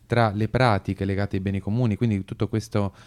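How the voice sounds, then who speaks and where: A man's voice: fairly low pitch, fairly even intonation, fairly quick, darkish tone. A man hosting an unscripted radio programme, explaining in long, hesitant sentences. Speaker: radio host. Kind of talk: unscripted radio talk on activism.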